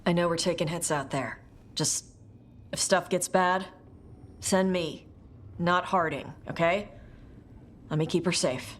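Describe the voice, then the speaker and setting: A woman playing a blunt, brash young warrior with fire magic, talking gruffly and casually in a video game cutscene. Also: on the low side, unhurried, long pauses, slight background noise, natural voice. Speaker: party companion.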